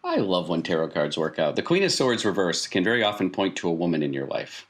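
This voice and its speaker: A man's voice: deep voice, very animated, quick pace. A man acting as game master, narrating and explaining an improvised tabletop roleplaying game.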